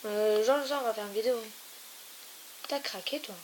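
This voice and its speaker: A woman's voice: on the high side, normal speed. A young woman hosting a casual gaming video, rambling and teasing, trailing off with repeated fillers. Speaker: gaming YouTuber. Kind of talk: casual let's-play chat. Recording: hissy home recording. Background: steady hiss.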